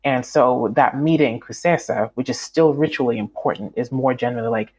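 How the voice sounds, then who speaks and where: A woman's voice: extremely low pitch, fairly quick, darkish tone, breathy edge, low-quality recording. A woman from Canada, a writer talking as an interview guest, thoughtful and full of fillers and restarts. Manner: reflective, many fillers and restarts. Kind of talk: conversational interview.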